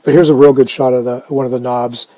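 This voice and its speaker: A man casually narrating a hands-on restoration video, unhurried and halting as he thinks aloud. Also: mid-range voice, level tone, warm tone, low-quality recording. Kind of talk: casual narrated how-to commentary.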